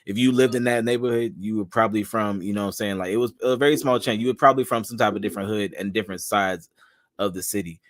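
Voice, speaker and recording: A man's voice: on the low side, steady tone, fast talker, dry recording, clean studio sound. Laid-back man hosting a podcast. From Charlotte, North Carolina. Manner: laid-back, slangy, rambling with restarts. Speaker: podcast host. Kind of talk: casual interview chat.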